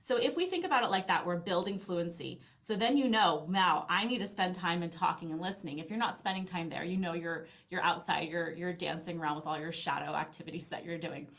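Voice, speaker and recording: A woman's voice: on the low side, a little fast, hardly any pauses, fairly bright tone. A middle-aged woman speaking as a podcast interview guest, explaining in an earnest, conversational way and often restarting her phrases. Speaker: interview guest, language learning company founder.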